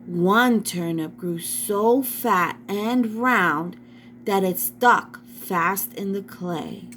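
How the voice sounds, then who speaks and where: A woman's voice: medium pitch, very slow, fairly bright tone, slight background noise. A woman reading a story aloud to children, addressing her young listeners directly like a teacher.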